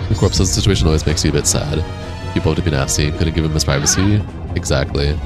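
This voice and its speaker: A young man with a deep voice, casually streaming a game and chatting with viewers in a laid-back, rambling way. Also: fast. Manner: laid-back, rambling, reads and answers chat. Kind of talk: casual live-stream chat while gaming. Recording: game music playing behind.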